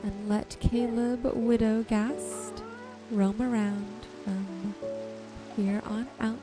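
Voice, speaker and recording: Slow, gentle woman narrating ASMR gameplay. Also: fairly high pitch. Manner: gentle, soothing, unhurried narration. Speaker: gaming video creator. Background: music and rain sounds.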